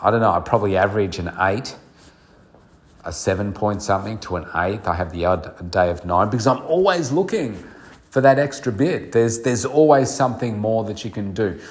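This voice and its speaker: A man's voice: on the low side, expressive, moderate pace. A middle-aged man hosting a solo podcast, speaking earnestly and reflectively with many fillers, his voice nasal and congested from a cold. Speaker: podcast host and coach.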